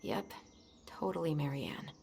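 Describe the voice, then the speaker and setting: Wry, lowish-voiced young woman. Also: big pitch swings, a little slow, creaky, a little mellow, full character voice. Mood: dry, teasing. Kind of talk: game cutscene voice acting. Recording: faint background noise.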